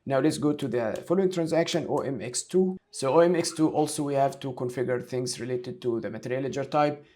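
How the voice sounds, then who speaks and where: A man giving a step-by-step software tutorial, talking through each action aloud as an instructor. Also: mid-range voice, a little fast. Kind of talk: screen-share tutorial, explaining step by step.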